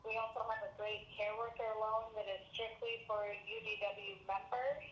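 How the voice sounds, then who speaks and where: A woman's voice: on the high side, fairly even intonation, normal speed. A woman working as a credit union representative, answering member questions on a conference call. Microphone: conference call connection. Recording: echoey, muffled webinar call with background noise.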